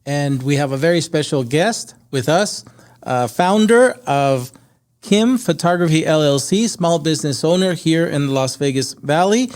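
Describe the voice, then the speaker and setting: A man's voice: a little high, moderate pace, darkish tone. A man hosting a podcast, speaking in a conversational interview style, a non-native English speaker. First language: not English. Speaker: podcast host.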